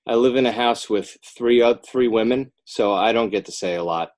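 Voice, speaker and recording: An American man chatting casually as a podcast guest, often rambling, halting and correcting himself as he goes. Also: medium pitch, darkish tone. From Northern New Jersey, United States. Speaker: podcast guest, children's book author. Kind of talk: casual podcast interview chat.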